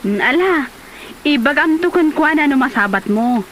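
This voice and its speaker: A woman with an Ilocano Filipino accent playing a nosy, excitable neighbor in an amateur comedy drama. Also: high-pitched, unhurried, slight background noise. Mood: surprised, prodding.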